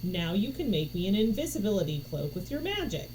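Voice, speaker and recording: A woman's voice: medium pitch, big pitch swings, fairly quick, darkish tone, pitched above the performer's own voice, close to an everyday voice, low-quality recording. A woman voicing a reckless, gleeful sword-fighting girl from a game world, bold and taunting, in a storybook reading. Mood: cocky, pleased. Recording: noticeable background noise.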